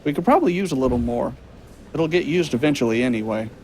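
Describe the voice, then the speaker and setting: A man's voice: medium pitch, lively intonation, quick pace, a little mellow, a little hiss or hum, lightly acted, low-quality recording. An actor playing a friendly, encouraging young man in an audio drama, casual and supportive.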